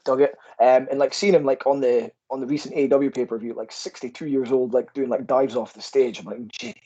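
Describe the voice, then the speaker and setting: Aberdeen wrestler guest, breathy voice, muffled remote audio, relaxed and enthusiastic. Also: male voice, mid-range voice, fairly quick. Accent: Aberdeen Scottish. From Scotland. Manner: easygoing, filler-heavy, enthusiastic. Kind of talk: casual interview chat.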